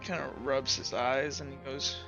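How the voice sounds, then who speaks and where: Bright-voiced man running a tabletop game. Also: medium pitch, level tone, normal speed, vocal fry. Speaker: game master. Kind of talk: casual improvised tabletop game narration. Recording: slightly dull audio over music.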